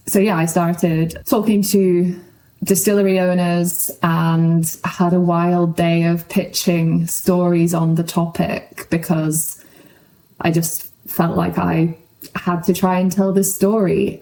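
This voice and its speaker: A woman's voice: on the low side, warm tone, poor audio. A woman speaking as a guest journalist in a conversational podcast interview.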